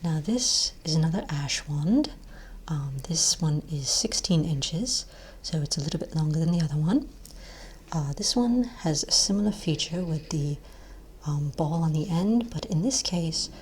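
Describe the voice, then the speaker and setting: A woman speaking softly and breathily, often nearly whispering, as a gentle, unhurried, reassuring shopkeeper in an ASMR role play. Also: low-pitched, slight background noise. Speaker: ASMR role-play performer as wand shop owner. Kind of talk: soft-spoken ASMR role play, improvised sales patter.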